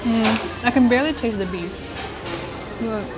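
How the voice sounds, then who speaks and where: Chatty young woman vlogging over loud music. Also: fairly high pitch, measured pace, low-quality recording. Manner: chatty, upbeat, tastes food on camera. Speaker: food vlogger host. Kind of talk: casual food review vlog. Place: small cafe indoors and street outdoors. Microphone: lapel mic.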